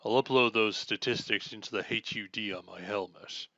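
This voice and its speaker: Casting: male actor as noble sword-wielding superhero, bright forceful tone, formal and self-assured, dry slightly dull recording, audio drama. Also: on the low side, moderate pace, creaky, no echo. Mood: confident, reassuring. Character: earnest, chivalrous knight-like armored male superhero swordsman. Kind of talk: scripted audio roleplay acting.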